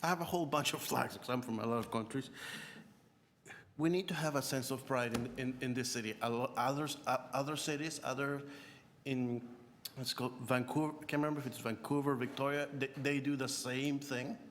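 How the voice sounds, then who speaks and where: A man speaks at a public meeting in a reverberant hall. His delivery is halting and unscripted, with words repeated and stammered, and there is noticeable background noise. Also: mid-range voice, fairly even intonation, fairly bright tone. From born in Croatia, Canada (Scarborough). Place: large indoor meeting room.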